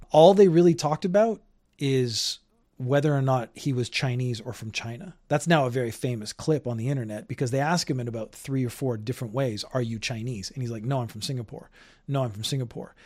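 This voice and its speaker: A man's voice: medium pitch, darkish tone. A Canadian man hosting his own podcast, talking in a loose, rambling, opinionated way. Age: older. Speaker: podcast host. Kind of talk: casual solo commentary. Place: home in Japan.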